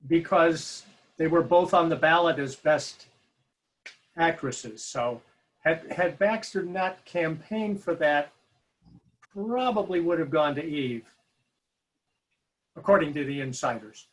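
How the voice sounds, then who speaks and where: Slightly high-voiced man commenting on a panel. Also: takes it slowly, long pauses, rough recording. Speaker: panelist commenting on film history.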